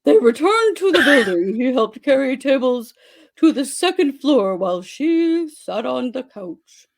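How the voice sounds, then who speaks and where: A woman giving a theatrical dramatic reading of a story, with exaggerated delivery and dramatic pauses. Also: high-pitched, very animated, creaky. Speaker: dramatic reader.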